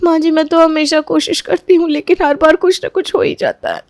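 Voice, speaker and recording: A woman's voice: high-pitched, fairly even intonation, a little fast, dry recording, studio quality. A woman playing a timid, apologetic young daughter-in-law in a cartoon story, deferential and pleading. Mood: apologetic, hurt. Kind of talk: cartoon moral-story voice acting.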